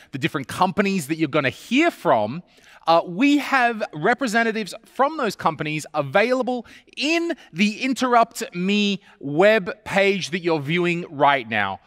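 A man's voice: on the high side, fairly bright tone, dry recording, studio quality, in a large hall. A man in his forties with an Australian accent, hosting a live virtual trade event from a stage with upbeat energy. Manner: upbeat, gestures while speaking. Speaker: event host. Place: large stage studio with hall reverb.

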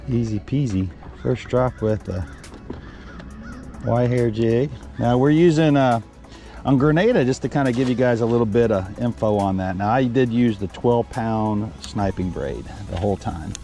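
A middle-aged man, a fishing guide, talking casually from his boat on a lake into a headset mic, relaxed and rambling with lots of ums. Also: mid-range voice, normal speed, faint music behind. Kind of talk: casual fishing vlog chat.

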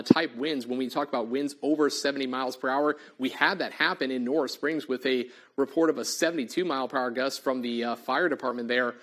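A man, a TV meteorologist losing his voice, giving urgent live storm warnings full of fillers in a studio on a lapel mic. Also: medium pitch. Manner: urgent, repetitive, filler-heavy live delivery. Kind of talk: live severe weather coverage. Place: TV studio in front of a weather wall.

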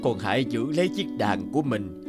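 A man's voice: mid-range voice, very animated, a little fast, over music, natural voice. A man voicing a wise, benevolent old god in a fairy-tale cartoon, solemn and stately. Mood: solemn, kindly.

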